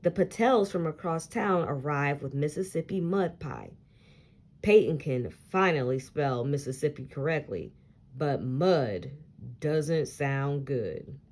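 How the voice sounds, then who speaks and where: A woman reading a children's story aloud in an expressive, deliberate storytelling style. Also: low voice. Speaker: picture book read-aloud reader.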